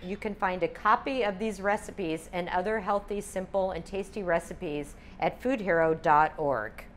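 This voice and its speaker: An older woman calmly demonstrating recipes step by step as a cooking instructor in a teaching kitchen. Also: fairly low pitch, normal speed, a little hiss or hum. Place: home-style teaching kitchen.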